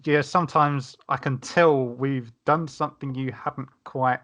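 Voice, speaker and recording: A man's voice: medium pitch, steady tone, measured pace, a bit bright. A man in casual panel discussion, talking wordily and often restarting his sentences. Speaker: podcast panelist.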